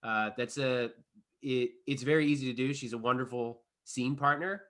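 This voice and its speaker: A man speaks in a bright, forceful voice of medium pitch. He sounds like an actor in a relaxed interview, considering his answers and rephrasing as he goes. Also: moderate pace. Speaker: stage actor. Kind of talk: casual interview chat.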